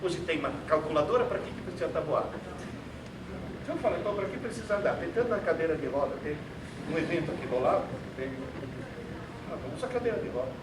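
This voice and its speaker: A high-voiced man lectures an auditorium audience in a theatrical, sarcastic, emphatic way, and Portuguese is not his first language. He is picked up from far off in a roomy hall. The recording carries clear background noise. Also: animated, fast talker. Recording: echoey hall, distant mic, noisy.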